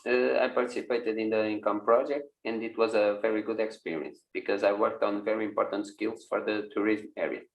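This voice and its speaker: A man from Portugal asks a prepared question in a plain, steady way. His voice sits low. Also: fairly quick, hardly any pauses, rough recording.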